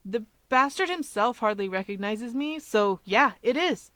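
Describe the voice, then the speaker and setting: A woman's voice: a little high, lively intonation, measured pace, fairly bright tone, no echo. A mocking young college man in an audiobook story, voiced by a woman. He talks bluntly and casually, scornful and defensive. The sound is dry. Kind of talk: fan fiction audiobook character voice.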